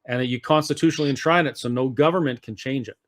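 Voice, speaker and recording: A man's voice: medium pitch, quick pace. A man speaking as an interview guest, explaining his views casually and earnestly at some length. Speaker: interview guest, political activist.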